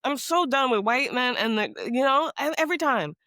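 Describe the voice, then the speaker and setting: A woman's voice: high-pitched, animated, brisk, pushed and bright, dry recording, studio quality. A woman co-hosting a podcast, talking casually and rambling, often trailing off and restarting her thoughts. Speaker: podcast co-host.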